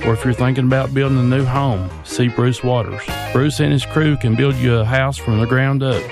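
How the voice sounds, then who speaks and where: A man's voice: mid-range voice, steady tone, normal speed, creaky. Man reading a local ad over guitar. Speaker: ad announcer. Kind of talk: scripted local radio ad. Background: guitar music.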